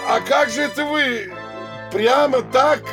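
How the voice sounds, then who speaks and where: An actor playing a flustered, fawning middle-aged town mayor who stammers obsequiously in a classic comedy audio play. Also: male voice, very high-pitched, takes it slowly, over music. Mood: meek, obliging.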